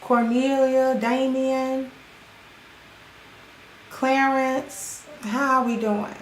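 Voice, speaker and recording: A woman hosting her channel, chatting casually with viewers and greeting them by name, her voice sounding hoarse. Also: high voice, little pitch movement, extremely slow, a little hiss or hum. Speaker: channel host. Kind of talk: casual livestream commentary. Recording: roomy echo with faint music.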